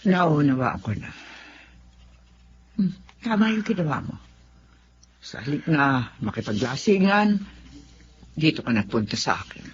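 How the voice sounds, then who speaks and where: A woman with a Filipino accent acting in a radio drama as a gentle, devout elderly mother, comforting and measured. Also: low-pitched, sing-song. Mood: understanding. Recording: dull lo-fi audio, faint background noise.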